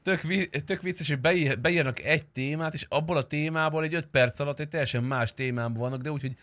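A man's voice: on the high side, a bit bright, no echo. A man chatting casually and crudely with friends while streaming a roleplay game. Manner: crude, bantering.

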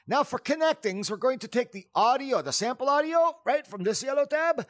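High-pitched, very animated man giving a tutorial. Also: dry recording. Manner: animated, chatty, checks in with "right?" and "okay?". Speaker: tutorial host. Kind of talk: casual instructional walkthrough.

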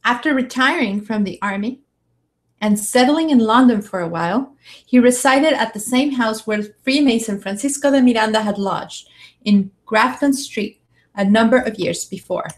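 A woman's voice: a little high, normal speed. A woman in her thirties reading a scripted narration aloud into a studio microphone indoors. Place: small room, indoors. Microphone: studio condenser mic with pop filter.

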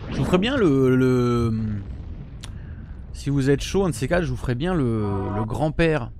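A man's voice: medium pitch, animated, a little slow. Chatty gamer man streaming. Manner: chatty, mumbly while eating, bursts of loud frustration. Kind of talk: casual gameplay chat with viewers. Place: home streaming room, indoors. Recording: game sound noise behind voice. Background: game gunfire and sound effects.

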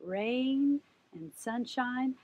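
A woman's voice: a little high, animated, drawn-out words, a little mellow. A middle-aged woman hosting a children's story time, reading aloud expressively and putting simple questions to her young listeners.

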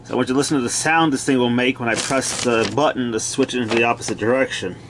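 A man with a mid-pitched voice demonstrates equipment in a casual, hands-on way. He speaks in terse, clipped phrases and keeps it matter-of-fact. It is recorded at home on a handheld camera. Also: fairly even intonation, fast talker, noisy background. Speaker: gadget demonstrator. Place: indoors, cluttered home room.

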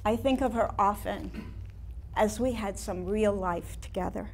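A woman in her sixties with an American accent performing a staged monologue as a wistful, reflective older painter looking back in measured, nostalgic phrases. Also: mid-range voice, a little hiss or hum, close to an everyday voice. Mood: wistful reminiscing. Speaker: playwright performing a staged reading. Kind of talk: theatrical monologue reading. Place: small studio room with seated audience.

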